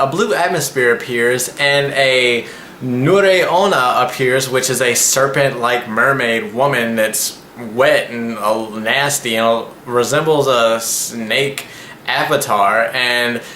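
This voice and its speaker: A man's voice: medium pitch, bright, forceful tone. A man in his twenties hosting a casual anime review, rambling and slangy as he recaps. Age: 20s. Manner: slangy, rambling, loose phrasing. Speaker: anime reviewer and channel host. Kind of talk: casual vlog episode recap. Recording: echoey room with faint background noise.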